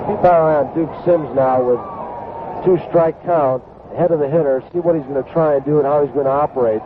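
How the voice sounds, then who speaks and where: A man's voice: a little high, fairly quick. An American man giving conversational baseball analysis on the radio from a ballpark booth. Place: open-air ballpark booth. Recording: tinny, noisy 1970s broadcast with crowd. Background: ballpark crowd.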